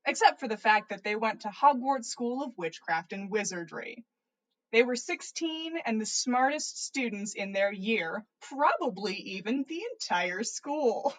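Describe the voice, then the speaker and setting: A woman host reading comedic fan fiction aloud in an amused, theatrical and expressive way. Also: on the high side, low-quality recording. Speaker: podcast host reading listener stories.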